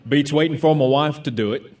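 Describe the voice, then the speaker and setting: A man's voice: a little high, a little fast, darkish tone, natural voice. An actor playing a good-natured male seminar partner who gives brief, easygoing replies in an audio theatre piece.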